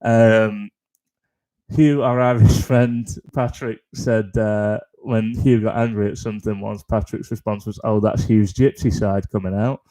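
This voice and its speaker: A man hosting a live comedy stream, rambling in a flat deadpan tone, with lots of ums, restarts and swearing. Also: on the low side, unhurried, poor audio. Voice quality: deadpan, flat-toned delivery. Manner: self-deprecating, frequent ums and restarts, sweary. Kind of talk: rambling stand-up style chat on a live stream.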